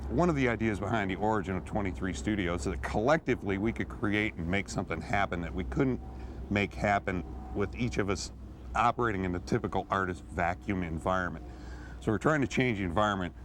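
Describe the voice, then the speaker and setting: A man who founded a gallery, recalling its start in a documentary interview, pausing often with fillers. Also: low-pitched, background noise. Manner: hesitant, many "uh" fillers.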